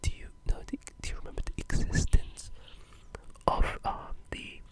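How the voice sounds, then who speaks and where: A breathy, unvoiced whisper in a casual, rambling ASMR video game discussion, with an enthusiastic gamer's way of talking. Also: moderate pace.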